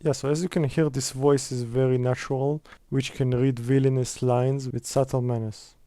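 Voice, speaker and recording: A man's voice: medium pitch, level tone, moderate pace, dark tone, dry recording, studio quality. A man presenting a casual step-by-step screen tutorial in a conversational way.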